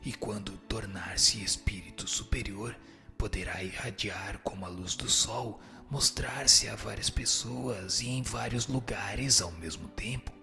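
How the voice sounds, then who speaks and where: A man voicing a solemn spirit who teaches in a measured, declamatory, didactic way in a radio drama. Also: lowish voice, vocal fry, pushed and bright, faint music behind, full character voice. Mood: solemn, exhorting. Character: solemn male spirit answering questions and dictating teachings. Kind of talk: dramatized reading of a spiritist text.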